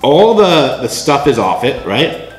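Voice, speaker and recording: A chatty middle-aged man talks to a camera across his home kitchen counter, with a lively, up-and-down voice. The room sounds echoey, and faint music plays underneath. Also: mid-range voice, unhurried. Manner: chatty, often tags lines with "right?"; demonstrates food while talking to camera. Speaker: vlog host. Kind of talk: casual kitchen vlog.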